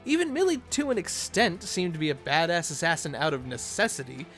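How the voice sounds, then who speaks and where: Male narrator for a fan theory video, lively swinging intonation, slightly high voice, informal and playful, light music bed. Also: a little fast. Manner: playful, conversational asides. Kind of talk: animated, informal video essay commentary.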